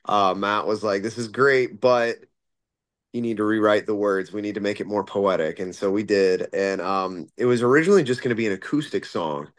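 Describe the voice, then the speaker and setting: Man, musician guest, low pitch, smooth resonant voice, rambling interview talk. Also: moderate pace, no echo. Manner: rambling, many fillers and restarts.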